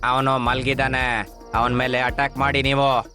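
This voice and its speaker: A man's voice: medium pitch, flat delivery, moderate pace, music in the background, lightly acted. A man voicing a power-hungry, hot-tempered fox villain for a cartoon story, snappish and boastful. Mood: commanding.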